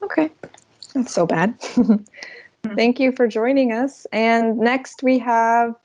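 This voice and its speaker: A woman's voice: fairly high pitch, slow pace, darkish tone, slight background noise. A woman hosting an online class on a video call, welcoming each participant in turn. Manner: friendly, welcomes each participant with light laughs. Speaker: host.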